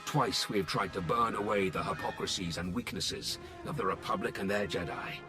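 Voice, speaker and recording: An actor playing a grim, proud and weary Sith commander in a video game, speaking in a solemn, commanding and deliberate way. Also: male voice, lowish voice, close to an everyday voice. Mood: solemn, grave. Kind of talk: dramatic game voice acting. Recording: loud music behind the voice.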